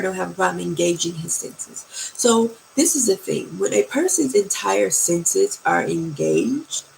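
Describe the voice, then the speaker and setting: A woman with a naturally low, soft voice, giving dating advice slowly and sultrily and often checking in with "okay?" or "right?". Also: creaky, slight background noise, poor audio. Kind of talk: slow, soft-spoken advice talk.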